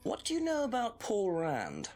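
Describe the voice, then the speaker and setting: A man's voice: high-pitched, huge pitch swings, moderate pace, darkish tone. A man playing a probing police detective in a mystery game, questioning in a pointed, theatrical way. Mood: inquisitive. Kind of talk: acted interrogation in an FMV game. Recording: faint music under game dialogue.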